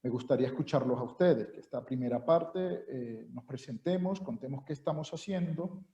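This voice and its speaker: Venezuelan man, middle-aged lecturer; very dark tone, muffled video-call sound. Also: medium pitch. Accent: Venezuelan Spanish. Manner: measured, formal, many "eh" fillers. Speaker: university lecturer. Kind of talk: online seminar talk. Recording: dull echoey video-call audio.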